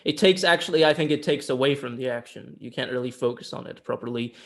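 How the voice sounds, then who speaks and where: A man's voice: medium pitch, fast, vocal fry, a bit bright. A man in casual conversation as a film review co-host, giving opinionated takes with some swearing.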